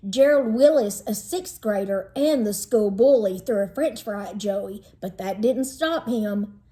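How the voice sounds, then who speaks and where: A woman narrating a children's storybook in an expressive, dramatizing read-aloud style. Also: mid-range voice, unhurried.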